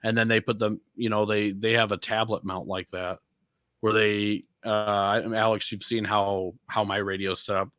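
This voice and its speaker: A man's voice: fairly low pitch, level tone, moderate pace, fairly bright tone, clear, resonant tone, no echo, clean studio sound. A man chatting casually as a podcast panelist about off-road vehicles, thinking aloud in a rambling way.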